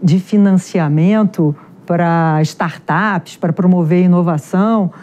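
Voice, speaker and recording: A middle-aged woman with a carioca accent from Rio, a genetics researcher answering interview questions in a chatty, animated way with many fillers. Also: fairly low pitch, unhurried. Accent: Carioca Portuguese. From Rio de Janeiro, Brazil.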